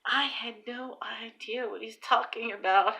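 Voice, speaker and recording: A woman's voice: fairly high pitch, measured pace, vocal fry, low-quality recording. A woman in her thirties with a New York Long Island accent, telling stories in a casual vlog, recorded on a phone at arm's length in a small room. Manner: animated, exclamatory storyteller. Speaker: vlogger and online seller.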